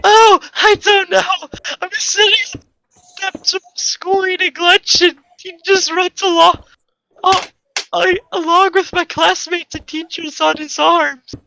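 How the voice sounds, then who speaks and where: A man voicing an upset, shouty schoolgirl in a whiny falsetto for an amateur story reading. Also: fairly even intonation, takes it slowly, creaky, a little hiss or hum, full character voice, rough recording. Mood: whiny, frantic.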